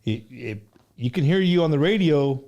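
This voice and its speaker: Man, fairly high voice, casual livestream host, slangy, laughs easily. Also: animated, moderate pace, a little mellow, lo-fi sound. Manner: loose, slangy, laughs easily, calls guests bro and dude. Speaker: host of an online music interview show.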